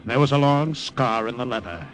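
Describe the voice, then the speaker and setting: An actor playing a nervous American secret agent who narrates the story steadily and stammers and fumbles in scenes, in 1950s radio drama style. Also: male voice, medium pitch, lively intonation, normal speed, music in the background, lightly acted. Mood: narrating.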